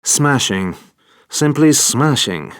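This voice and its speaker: A man's voice: medium pitch, slow pace, clear, resonant tone, no echo. A male actor playing a suave, unflappable secret agent in a video game, delivering dry, wry one-liners. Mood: wry, cool. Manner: dry, wry, deadpan quips.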